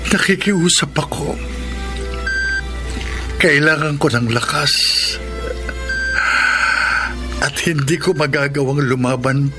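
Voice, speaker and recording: A man's voice: medium pitch, natural voice. A man with a Filipino accent playing an anguished, pleading husband in a radio drama. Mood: tearful pleading. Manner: emotional, pleading. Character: anguished poor young husband pleading for his wife.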